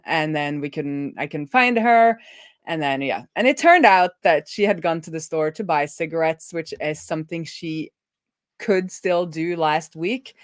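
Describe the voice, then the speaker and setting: A woman with a fairly low voice hosts a casual coding livestream. She talks informally, like a developer telling things to regular viewers, with animated storytelling. The sound is close and dry. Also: no room sound. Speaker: livestream host and web developer. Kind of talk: casual live coding stream chat.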